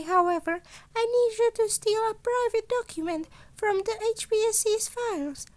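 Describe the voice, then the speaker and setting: A small, cunning rodent principal from an audio story, acted out by a woman, speaks in a very high voice. He is courteous and formal, stressing his words firmly as he sets out exacting terms. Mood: blithely scheming. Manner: formal, measured, emphatic phrasing.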